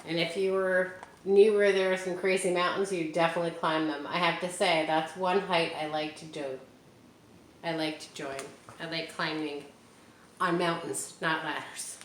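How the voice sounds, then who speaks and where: A woman reading a picture book aloud to children, stopping often for gentle, chatty asides. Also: on the low side, little pitch movement, normal speed. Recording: echoey room with faint hiss.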